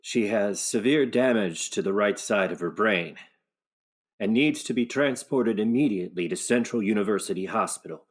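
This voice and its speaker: A man's voice: on the low side, moderate pace, close to an everyday voice. A man playing a grave, authoritative doctor in a radio play.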